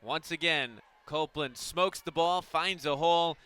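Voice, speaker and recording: A man giving conversational, explanatory color commentary on a live softball radio broadcast. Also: fairly high pitch, unhurried, smooth.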